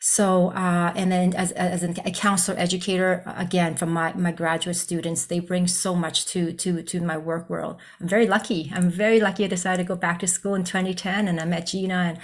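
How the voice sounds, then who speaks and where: A woman's voice: on the low side, flat delivery, brisk, hardly any pauses. A woman whose first language is Cantonese, a psychologist and counselor educator, telling her personal story in an earnest, conversational way on a video call. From Vietnam. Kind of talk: virtual presentation, personal story.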